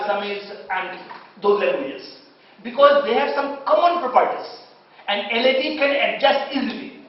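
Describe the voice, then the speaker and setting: A man with an Indian English accent giving a university lecture, often searching for words with fillers and restarts. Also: very high voice. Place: lecture hall. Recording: far-off, very echoey, dull lecture hall recording.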